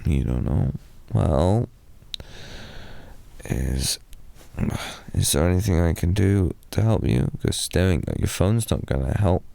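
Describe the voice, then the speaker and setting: A young British man improvising a sleepy boyfriend ASMR roleplay, softly rambling and slipping into whispers. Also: low-pitched, lively intonation, slow, vocal fry, long pauses. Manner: soft, drowsy, rambling with slips into whispers. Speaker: ASMR performer.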